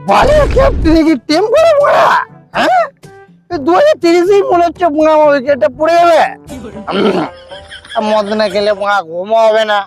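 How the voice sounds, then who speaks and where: A man's voice: extremely high pitch, lively intonation, brisk, faint music behind. A man playing a foul-mouthed, boastful drunkard in a comedy skit, belligerent and throwing out challenging questions. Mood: grumbling.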